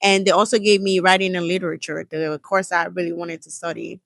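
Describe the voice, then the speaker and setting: A woman's voice: on the low side, fairly quick, creaky, dry recording, clean studio sound. A young woman from Nigeria with a Nigerian accent, chatting as a podcast guest and telling stories with lots of "like" fillers. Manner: chatty, many "like" fillers, animated retelling. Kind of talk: casual storytelling in conversation. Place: indoor room in New York.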